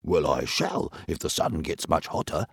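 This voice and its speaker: A man's voice: a bass voice, very animated, normal speed, vocal fry, husky, dry recording, studio quality, full character voice. A man voicing a sulky, dramatic male toy in a storybook, grumbling. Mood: grumpy.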